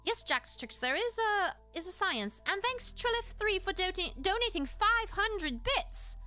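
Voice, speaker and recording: A woman's voice: extremely high pitch, animated, a little slow, bright, forceful tone, faint music behind. A woman streamer speaking in a British accent, teaching a livestreamed lesson in a polite, explanatory, gracious manner. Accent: British, put on. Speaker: live streamer and host giving a lesson. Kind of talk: livestreamed educational lesson with chat replies.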